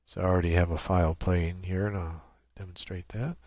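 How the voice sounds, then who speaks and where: A man presenting an unscripted screen-share software tutorial in a halting, thinking-aloud way. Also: sits low, steady tone, no room sound, dull audio.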